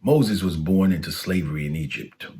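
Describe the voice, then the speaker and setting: Low-voiced man reading a storybook. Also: normal speed, a little mellow. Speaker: narrator reading aloud. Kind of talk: scripted storybook read-aloud for children.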